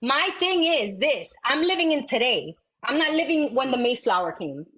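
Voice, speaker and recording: An American woman in a heated livestream debate, loud and repeating herself as she talks over the other speakers. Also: sits high, big pitch swings, normal speed. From United States. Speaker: livestream guest.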